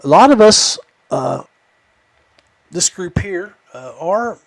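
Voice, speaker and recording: A man hosting a podcast in a casual, folksy, halting way. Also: high voice, big pitch swings, no room sound, poor audio. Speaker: podcast host.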